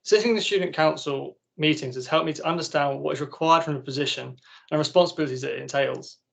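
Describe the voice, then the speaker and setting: A man's voice: fairly high pitch, quick pace, vocal fry, fairly bright tone. A young man delivering a formal, prepared campaign speech as a student election candidate. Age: young adult.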